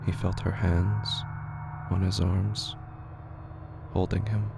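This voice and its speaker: Low, gravelly male horror narrator. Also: little pitch movement. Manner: measured, in short deliberate phrases. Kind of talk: dramatic scripted horror fiction narration. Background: prominent music.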